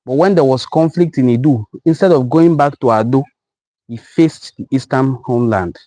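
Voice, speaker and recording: Mellow Nigerian man hosting a live stream. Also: mid-range voice, no room sound. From western Igbo land, Nigeria. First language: Igbo. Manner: deliberate, repeats his points and appeals to viewers. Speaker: host of a cultural forum live broadcast. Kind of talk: live-stream talk to an online audience.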